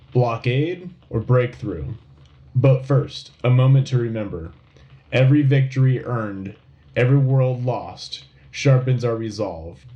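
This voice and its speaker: A man playing a solemn, patriotic wartime radio news anchor in a scripted dramatic newscast, with a grave, rousing, measured delivery. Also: mid-range voice, warm tone, muffled recording, lightly acted. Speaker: radio host.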